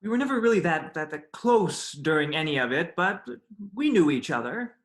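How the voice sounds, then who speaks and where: A man's voice: a little high, big pitch swings, normal speed. A man acting a defensive, self-justifying character in a live staged reading, explaining earnestly and hesitantly. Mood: wry, self-justifying. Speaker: actor in a virtual staged reading. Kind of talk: live staged play reading, conversational monologue. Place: indoors, streamed over video webinar.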